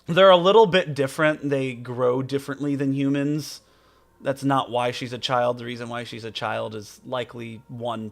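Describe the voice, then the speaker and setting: A man's voice: mid-range voice, creaky. A man giving casual, theatrical live commentary over a video game, rambling and talking back to the characters.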